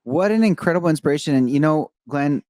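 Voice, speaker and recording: A young adult man from Egypt hosting a podcast interview, talking in an animated, effusive, rambling way with many fillers. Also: fairly high pitch, fast talker, no room sound. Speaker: podcast host. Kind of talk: enthusiastic interview chat.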